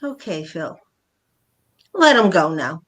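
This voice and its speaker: A woman's voice: sits low, sing-song, slow pace, fairly bright tone, heavily acted. A woman playing a blunt, exasperated middle-aged mother and wife in an amateur staged play reading, brusque and matter-of-fact.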